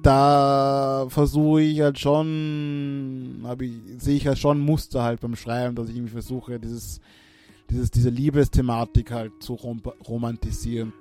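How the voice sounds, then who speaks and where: A musician, answering interview questions in a reflective way, with many restarts and fillers. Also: male voice, medium pitch, level tone, unhurried, dark tone, faint music behind. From Austria. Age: 30s.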